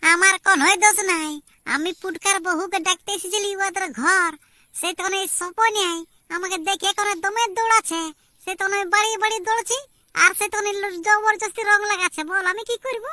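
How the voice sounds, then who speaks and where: A woman's voice: very high voice, fairly even intonation, a little fast, bright, forceful tone, dry recording, studio quality. A woman voicing a harried village wife and mother in a comic cartoon, complaining in a rural Purulia Bengali accent. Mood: aggrieved. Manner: complaining, protesting. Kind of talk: amateur comic cartoon dialogue.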